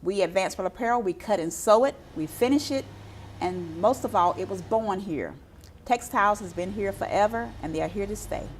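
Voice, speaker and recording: A woman's voice: medium pitch, a little fast, slight background noise. Proud woman apparel business owner. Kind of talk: documentary interview.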